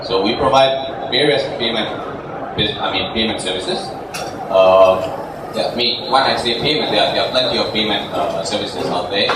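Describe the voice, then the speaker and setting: A middle-aged man with a Burmese accent and a lowish voice answers as a founder being interviewed live. His delivery is informal and hesitant. He sounds far from the mic in a heavily echoing venue. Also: normal speed. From Myanmar. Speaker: company founder, interview guest. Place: indoor live meetup event before an audience. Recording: very echoey, noisy venue from far off.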